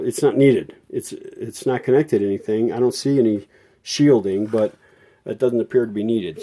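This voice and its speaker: Mellow-voiced man giving a halting hands-on tutorial. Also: on the low side. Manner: halting, self-correcting, informal. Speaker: host of a vintage radio repair tutorial. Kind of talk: unscripted hands-on technical explanation.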